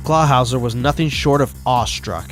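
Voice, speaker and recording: Male story narrator, mid-pitched, scripted prose delivery with soft music underneath. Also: normal speed. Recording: music behind the voice.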